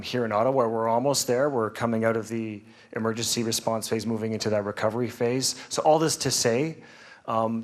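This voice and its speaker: A man from a relief agency giving a measured update at a public meeting, with frequent pauses. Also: medium pitch. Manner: measured, bilingual, with fillers. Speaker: Red Cross representative.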